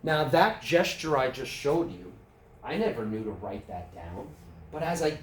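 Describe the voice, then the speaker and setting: A man's voice: medium pitch, big pitch swings, a little slow. A man with a New York accent leading a public speaking workshop, talking casually and putting questions to the audience. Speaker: workshop presenter. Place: club meeting room. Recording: roomy, distant mic, faint room noise.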